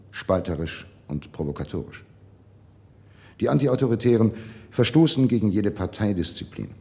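An actor playing a disdainful, doctrinaire Marxist party functionary in a radio drama, speaking formally and officiously. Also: male voice, on the low side, warm tone. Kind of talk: 1970s German radio drama acting.

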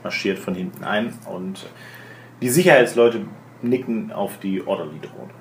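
A man runs a tabletop game with halting, improvised narration. His voice is slightly low. The room is echoey, with clear background noise. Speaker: game master.

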